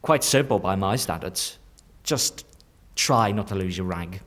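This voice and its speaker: Sly, low-voiced theatrical changeling. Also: male voice, animated, measured pace. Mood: conspiratorial, brisk. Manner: teasing, cryptic, lingering over words. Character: sly, theatrical shapeshifting changeling in a stolen man's body. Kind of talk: dark fantasy audio drama acting.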